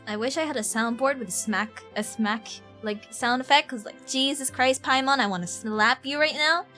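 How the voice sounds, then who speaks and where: Woman, neutral North American-like accent, slightly high pitch, rambling slangy stream chat, giggly. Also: normal speed, pushed and bright, faint music behind. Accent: neutral, North American-sounding. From Ireland. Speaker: live streamer. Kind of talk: casual gaming stream chat.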